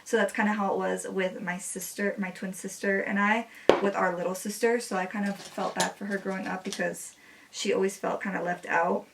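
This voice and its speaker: A woman's voice: medium pitch, little pitch movement, normal speed, gravelly, a bit bright, far from the mic, slight background noise. A woman in her twenties, a native Spanish speaker, chatting casually while doing her makeup, with many "like" fillers. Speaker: beauty vlogger.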